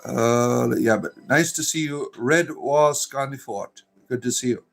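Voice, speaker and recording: Rambling man, low music behind. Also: vocal fry, fairly bright tone. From Florida. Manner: rambling, full of fillers and restarts, drawn-out narrator delivery. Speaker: livestream host. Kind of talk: casual livestream chat reading viewer comments.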